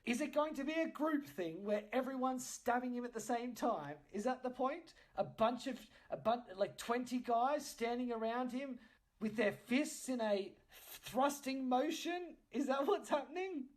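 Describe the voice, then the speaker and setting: A man's voice: very high-pitched, moderate pace. A man hosting a casual improvised comic role-play, asking questions in a mock-incredulous manner. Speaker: podcast host.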